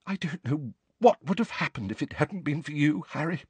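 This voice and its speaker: A man voicing a warm, emotional middle-aged mother, lifting his voice high and gushing. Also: expressive, normal speed, husky, no room sound. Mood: tearful grateful.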